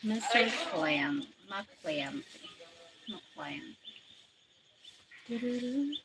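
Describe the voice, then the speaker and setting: A woman's voice: medium pitch, animated, drawn-out words. A Filipino woman in her forties, chatting casually on a live stream, greeting viewers and thanking them one by one. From Philippines. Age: 40s. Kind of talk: casual live chat, reading out viewer comments. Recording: loud background noise.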